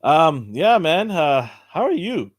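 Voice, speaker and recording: A man hosting a casual live podcast, upbeat and chatty, his voice a bit hoarse from cheering. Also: a little high, sing-song, takes it slowly, no room sound. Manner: upbeat, chatty, thanks viewers. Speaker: podcast host. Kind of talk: casual live-stream chat.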